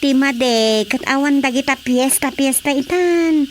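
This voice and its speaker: An actress playing a gossipy village woman in a radio comedy, chatty and expressive, with an Ilocano accent. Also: high-pitched, measured pace, a little hiss or hum. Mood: chatty, amused.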